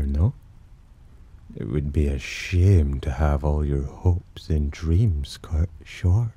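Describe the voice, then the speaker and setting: Casting: male actor as condescending demon for ASMR roleplay, extremely low and husky, menacing yet teasing. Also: full character voice. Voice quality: very deep, dark, husky. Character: haughty, teasing male demon, disdainful of humans, possessively protective. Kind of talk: ASMR roleplay, intimate scripted monologue.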